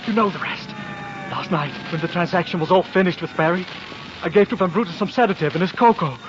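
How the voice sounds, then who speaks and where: An actor playing a cornered foreign impostor with stilted, formal phrasing in a 1940s radio drama. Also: male voice, sits high, quick pace, music in the background, natural voice. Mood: anxious, confessing. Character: shifty foreign male impostor, cornered and pleading. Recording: muffled 1940s radio, faint noise.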